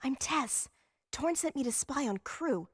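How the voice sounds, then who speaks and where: An actress playing a secretive young female undercover spy in an animated video game, breathy and hushed. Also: sits high, a little slow, dry recording, studio quality. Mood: hushed conspiratorial.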